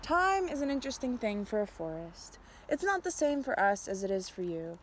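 A young adult woman reading a picture book aloud outdoors, with a measured, expressive storytelling delivery. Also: medium pitch, noisy background. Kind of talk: storybook read-aloud, narrated as a tree. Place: outdoors in snowy winter woods.

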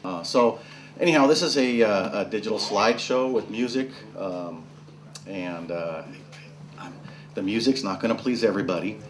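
A man with a fairly low voice casually introduces a presentation to an audience. He speaks in a halting, hesitant way, over clear room noise. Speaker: slideshow presenter. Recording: noisy room recording.

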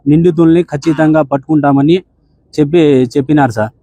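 Fast-talking, higher-pitched Rayalaseema Telugu man. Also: fairly even intonation, mellow, no room sound. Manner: deferential, ends sentences with "sir". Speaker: bereaved father on a phone call. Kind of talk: respectful account and appeal in a phone conversation.

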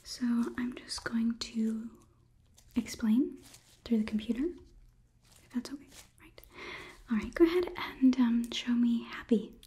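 A woman in her twenties performing a whispered ASMR roleplay as a politely detached robot examiner, murmuring in a soft, unhurried, clinical manner. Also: on the high side. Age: 20s. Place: small indoor studio set. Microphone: close microphone, on camera.

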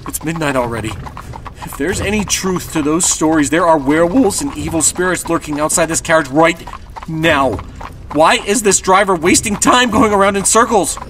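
Actor as a high-pitched, frightened male carriage passenger. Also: moderate pace, fairly bright tone, a little hiss or hum, natural voice. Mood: anxious dread. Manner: nervous, stammering, dramatic. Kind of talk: horror audio drama acting.

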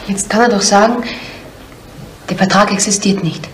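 An actress playing a stubborn, matter-of-fact daughter in a 1970s German radio crime drama, speaking firmly and bluntly. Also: female voice, mid-range voice, fairly even intonation, normal speed, a little hiss or hum, natural voice. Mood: defiant. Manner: firm, blunt.

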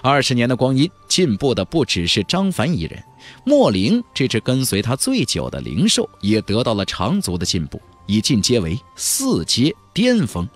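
Very animated male audiobook narrator. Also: medium pitch, normal speed, soft background music. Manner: expressive, emphatic delivery. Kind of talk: dramatic scripted storytelling.

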